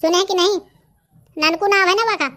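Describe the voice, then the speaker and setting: A sly, pompous village baba hands out brusque orders in a Bundelkhandi accent. The cartoon voice is pitched up extremely high, almost childlike. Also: male voice, fairly even intonation, moderate pace, pushed and bright. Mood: bossy, smug. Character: bossy, sly village holy man in a comedy cartoon. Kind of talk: rustic comedy cartoon dialogue.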